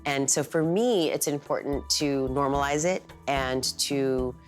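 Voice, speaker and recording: A woman's voice: a bass voice, a little slow, faint music behind. A woman, the lead actress, speaking earnestly and emphatically in an interview. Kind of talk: featurette interview.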